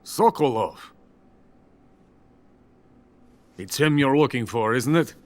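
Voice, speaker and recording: An actor playing a bitter, boastful older Soviet weapons scientist who is drunk and rambles theatrically in a video game. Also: male voice, medium pitch, pushed and bright. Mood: bitter self-pity. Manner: grandiose, self-pitying, theatrical rambling. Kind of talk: dramatic video game voice acting.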